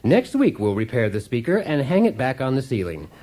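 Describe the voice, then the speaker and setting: A man's voice: medium pitch, very animated, a little fast, dark tone. A man playing a cheerfully oblivious husband who hosts a how-to program in a radio comedy, with an unflappable instructional delivery. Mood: instructive, cheerful. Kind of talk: scripted radio comedy parody of a how-to show.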